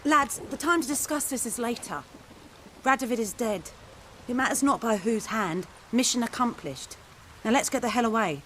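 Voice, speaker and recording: An actress playing a pragmatic female fighter in a fantasy video game, firm and brisk as she takes charge. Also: a little high, animated, a bit bright, slight background noise. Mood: firm, urgent. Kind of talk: dramatic video game voice acting.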